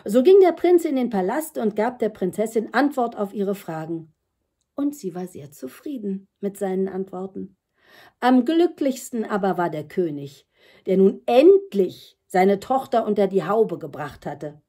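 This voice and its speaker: A woman's voice: medium pitch, lively intonation, a little slow, mellow. An older woman reading a fairy tale expressively for children. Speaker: storyteller. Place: room with bookshelves at home.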